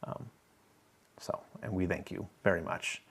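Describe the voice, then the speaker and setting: A man's voice: low voice, little pitch movement, measured pace, creaky, breathy edge. A man hosting a casual live stream, thinking aloud as he works and chatting easily with viewers. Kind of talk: casual live stream chat while working.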